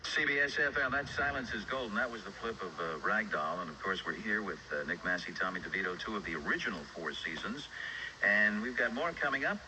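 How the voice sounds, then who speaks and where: A male radio host. He puts questions to musician guests and introduces records. The recording is an old broadcast tape with clear noise over it. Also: lowish voice, expressive, fairly quick. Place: radio studio.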